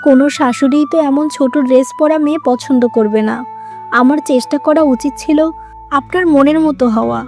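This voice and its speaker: Woman acting a high-voiced, emotional young cartoon wife. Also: steady tone, a little fast, a little mellow, faint music behind. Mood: relieved, apologetic. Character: emotional young modern wife, pleading and heartbroken. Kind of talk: Bengali moral-story cartoon dubbing.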